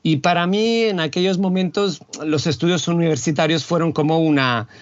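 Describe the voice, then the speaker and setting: A man from Italy, an Italian native speaker, speaking as a guest in a thoughtful, measured way, pausing to find his words. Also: on the high side, no room sound, dull audio. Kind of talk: reflective interview talk. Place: home, Barcelona.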